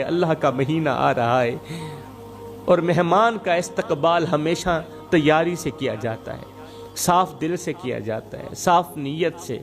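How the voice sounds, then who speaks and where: A man delivering an Islamic moral sermon as a preacher and storyteller, speaking emphatically with rhetorical repetition and exhortation. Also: fairly high pitch.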